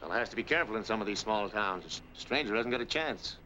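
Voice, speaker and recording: A smooth-talking, sympathetic stranger in a 1940s film. He talks very quickly in a bright, forceful voice, on a muffled old soundtrack. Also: on the low side, animated, slight background noise.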